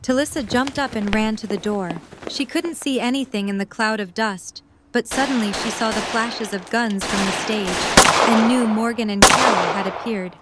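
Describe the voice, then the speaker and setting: A woman's voice: on the high side, normal speed, very noisy. A woman reading scripted story narration as the narrator of an audio drama.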